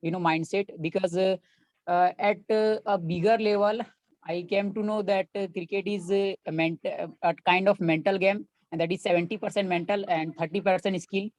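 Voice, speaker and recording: A man's voice: high-pitched, steady tone, normal speed, no room sound. Young man, Indian English accent, earnest and hesitant, dry close sound. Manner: earnest, hesitant with many fillers. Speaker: participant, cricketer.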